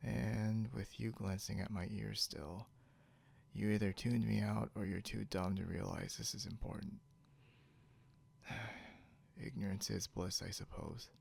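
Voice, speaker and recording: A man playing a grumpy, teasing, possessive young beast-eared hunter in an intimate ASMR roleplay, drawling drowsily and half whispering with a breathy, gravelly voice. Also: on the low side, steady tone, slow, long pauses, a little mellow, full character voice. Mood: quietly threatening.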